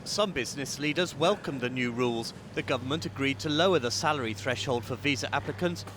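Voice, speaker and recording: Guy news reporter, measured, faint street noise behind. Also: medium pitch. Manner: measured, clear delivery. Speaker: political correspondent. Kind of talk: news report voice-over and interviewing.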